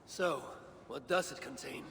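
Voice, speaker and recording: Commanding, proud Norse god. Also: male voice, medium pitch, gravelly, fairly bright tone. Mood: probing, stern. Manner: imperious, deliberate, formal. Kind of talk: video game cutscene acting. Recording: long reverb, faint background noise.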